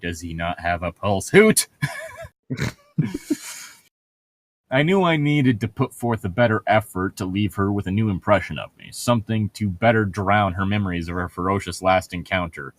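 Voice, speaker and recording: A man's voice: deep voice, moderate pace. A man co-hosting a casual read-aloud, throwing in sarcastic asides and laughing often. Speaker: co-host of a humorous read-aloud commentary.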